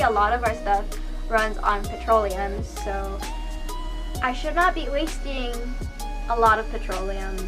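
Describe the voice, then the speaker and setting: A young woman from Hawaii talks casually and confessionally to camera, like a vlogger, in a room at home. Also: on the high side. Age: young adult. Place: small room at home, indoors. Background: soft background music.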